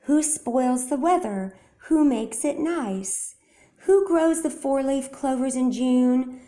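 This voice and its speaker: Woman as a dreamy, deliberate poet mouse, slightly high. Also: dark tone. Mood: theatrical, reciting. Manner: simple, deliberate, poetic. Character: calm, dreamy male field mouse poet. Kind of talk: children's storybook read-aloud.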